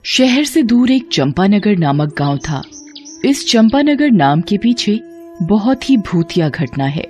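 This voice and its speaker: A woman narrating a cartoon story in an expressive, lilting storytelling manner. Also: medium pitch, slow pace, faint music behind.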